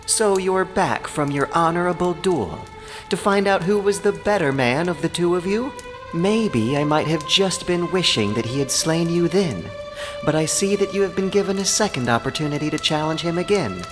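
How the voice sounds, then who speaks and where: A man's voice: a little high, lively intonation, moderate pace, over music, pitched above the performer's own voice, lightly acted. A man voicing a proud, bitter, beautiful woman of ancient legend, speaking with careful scorn and reproach in an epic audio drama. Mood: scornful reproach.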